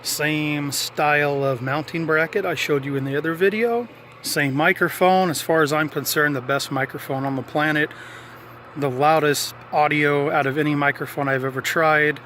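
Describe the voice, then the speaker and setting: A man's voice: a little high, steady tone, normal speed, fairly bright tone, a little hiss or hum. A man giving a casual, unscripted hands-on walkthrough of an installation, explaining things in a matter-of-fact way. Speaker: hobbyist presenter showing a radio installation.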